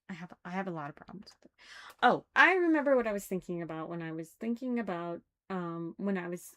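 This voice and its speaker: A woman giving casual, critical commentary, thinking aloud haltingly and repeating phrases for emphasis. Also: lowish voice, a bit bright. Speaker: reader commenting on a religious text.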